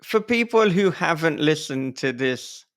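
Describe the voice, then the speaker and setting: A man hosting a casual interview podcast, speaking in halting, thoughtful phrases as he talks with a guest. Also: a little high, lively intonation.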